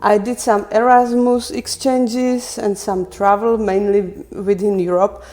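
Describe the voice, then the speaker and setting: Woman, mid-pitched voice. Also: flat delivery, unhurried, darkish tone. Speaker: podcast guest, landscape design teacher. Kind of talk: casual interview answers.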